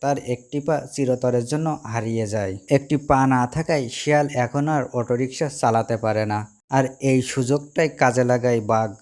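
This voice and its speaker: A man's voice: medium pitch, brisk, a little mellow. A man narrating a scripted cartoon fable as storyteller.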